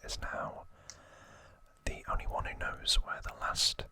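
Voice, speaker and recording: Male ASMR host, breathy bright whisper, unhurried and informal recap delivery. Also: no echo. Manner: slow, hesitant, informal explaining.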